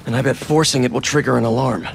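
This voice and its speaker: A man's voice: medium pitch, steady tone, quick pace, gravelly, background noise. A man acting an earnest, chatty young American superhero in a video game, with music behind him. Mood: wry, focused. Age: young adult. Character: earnest, chatty young male superhero sneaking through a cult hideout.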